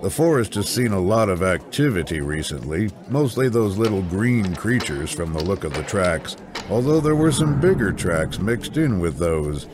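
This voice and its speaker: Sardonic low-voiced scout. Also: male voice, sing-song, moderate pace, noisy background. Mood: dryly smug. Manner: flippant, dry, unruffled. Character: irreverent, sardonic, rough-edged male scout. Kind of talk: fantasy audio drama acting.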